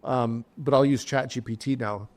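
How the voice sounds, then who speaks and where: A man's voice: medium pitch, fairly even intonation, moderate pace, a little mellow. A middle-aged man hosting an interview in a chatty way, often repeating words as he starts a thought. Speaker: podcast host. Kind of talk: casual interview talk.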